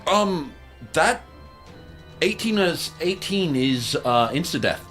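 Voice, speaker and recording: Higher-voiced man playing a tabletop game. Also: expressive, takes it slowly, fairly bright tone. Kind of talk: casual game-table chat. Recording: music behind the voice. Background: music.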